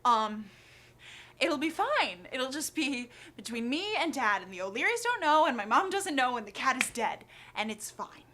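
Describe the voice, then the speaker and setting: A high-pitched, neurotic young woman played by an actress in a confessional stage-style monologue. She rambles and stumbles over filler words, full of guilt and nerves. There is clear background noise on the recording. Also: a little slow, pauses often, a bit bright. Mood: nervous rationalizing. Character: anxious, guilt-ridden young woman confessing a childhood secret. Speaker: actor. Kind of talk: acted confessional monologue, conversational. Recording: clearly noisy background ambience.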